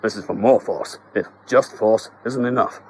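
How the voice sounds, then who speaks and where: A man voicing a brash, hurried pest exterminator. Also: fairly low pitch, a little hiss or hum, natural voice. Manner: hurried, brusque.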